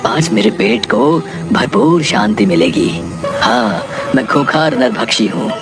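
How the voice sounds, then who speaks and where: A menacing, gluttonous forest ogre, played by a man in an acted moral tale, over music. He gives bullying commands and gloats about his next meal, in a fairly high male voice. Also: big pitch swings, unhurried, gravelly, full character voice. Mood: menacing, commanding. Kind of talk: acted children's moral story.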